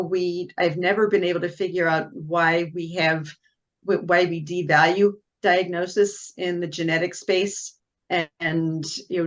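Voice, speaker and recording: A woman's voice: lowish voice, muffled recording. A woman speaking as a panelist over a video call, thoughtful and candid with a wry edge. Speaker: panelist, genetics expert. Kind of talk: panel discussion remarks.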